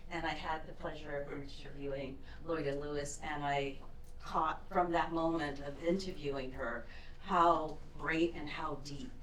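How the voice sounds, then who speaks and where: A woman in the audience asking an earnest question in a slow, halting way. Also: sits low, fairly bright tone. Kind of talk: audience question at a public event. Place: event hall. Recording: echoey hall from far off, faint noise.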